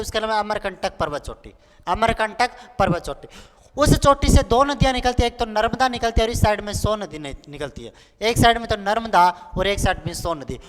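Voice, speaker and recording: High-voiced young man teaching, echoey noisy room. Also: fairly bright tone. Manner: repetitive, playful, colloquial, acts out pupils' questions. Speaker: online exam-prep geography teacher. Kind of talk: animated lesson with repeated drilling. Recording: noisy, echoing hall-like room with clicks.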